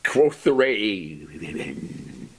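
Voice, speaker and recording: A man with a gravelly, husky voice recites poetry in a demented, overwrought theatrical style. His pitch swings wildly across a broad range, with every phrase heavily dramatized. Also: big pitch swings, very slow. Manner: overwrought, melodramatic, swinging from whispers to shrieks.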